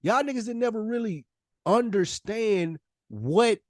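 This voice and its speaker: A man's voice: high voice, animated, unhurried, no room sound, clean studio sound. A man co-hosting a podcast, talking casually with plenty of slang in a blunt, questioning manner. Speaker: podcast co-host.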